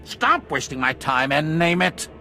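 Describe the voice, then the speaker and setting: An actor playing a pompous, blustering, self-important corporate administrator in a video game. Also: male voice, on the high side, sing-song, measured pace, bright, forceful tone, soft background music, heavily acted. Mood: indignant.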